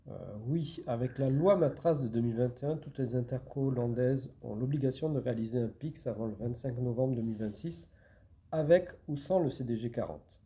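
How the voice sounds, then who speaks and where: A man's voice: medium pitch, brisk, warm tone. A man giving structured, step-by-step explanations as a public service agent in an interview.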